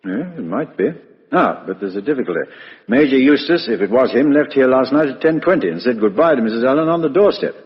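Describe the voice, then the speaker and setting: Actor as a blunt police inspector, muffled old radio. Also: male voice, mid-range voice, expressive, fast, lightly acted. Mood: businesslike. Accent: British. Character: brisk, blunt male British police inspector questioning a witness. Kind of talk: 1950s radio drama acting. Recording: muffled vintage radio broadcast, reverberant studio.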